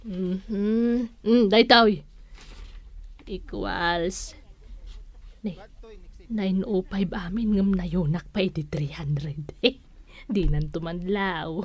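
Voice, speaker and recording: A woman with an Ilocano Filipino accent playing an unhurried village storekeeper who is firm about debts, in a drawling radio comedy style. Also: on the low side, steady tone. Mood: wry, insistent. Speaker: radio drama actress.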